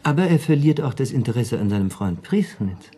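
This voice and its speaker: An actor playing a wry, shrewd dinner companion in a German radio play, a husky, mellow man who argues deliberately and persuasively. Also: mid-range voice, big pitch swings, lightly acted. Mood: scheming.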